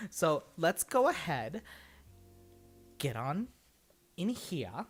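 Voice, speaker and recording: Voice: medium pitch, sing-song, drawn-out words, slightly rough, faint music behind. Casual, rambling livestream host. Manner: rambling, laughs often, swears casually.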